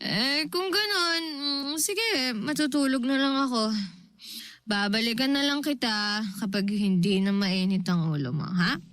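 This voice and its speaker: A woman's voice: fairly high pitch, measured pace, fairly bright tone, no echo, studio quality. A woman acting in a radio drama as a drunk, flippant younger sister, drawling irresponsibly. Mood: drowsy, dismissive. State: drunken slurring, as acted.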